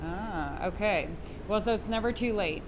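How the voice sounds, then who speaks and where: A woman's voice: medium pitch, normal speed, noisy background. A woman from Quebec whose first language is French, hosting a course in a chatty, informal way with frequent fillers. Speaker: course host. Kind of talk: conversational instructional chat.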